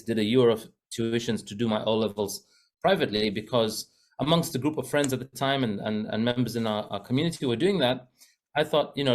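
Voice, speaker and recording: A man from Zambia, a well-being coach and podcast guest, talking earnestly with many fillers and restarts. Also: medium pitch. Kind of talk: casual podcast interview.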